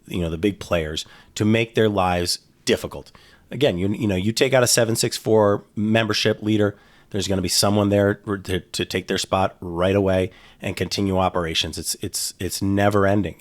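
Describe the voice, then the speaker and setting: A middle-aged man talking casually in an interview, rambling with frequent restarts and fillers. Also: sits low. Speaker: guest, former FBI agent.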